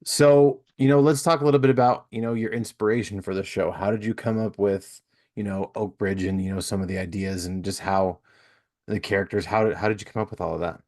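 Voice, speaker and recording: A man's voice: fairly low pitch, fairly even intonation, a little fast, a little mellow, dry recording, clean studio sound. A man in his thirties or forties casually chatting as a podcast host and interviewer.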